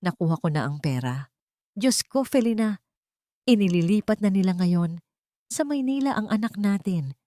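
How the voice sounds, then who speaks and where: A woman's voice: mid-range voice, animated, normal speed, pauses often, warm tone, dry recording, clean studio sound, natural voice. Woman voicing a trembling, tearfully grateful husband. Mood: tearful relief. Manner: trembling, emotional.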